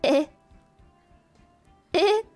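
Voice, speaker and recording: A woman's voice: very slow, soft background music. A woman streamer chatting casually with her viewers, giggly and playful, her throat sore and dry. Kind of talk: casual live-stream chat.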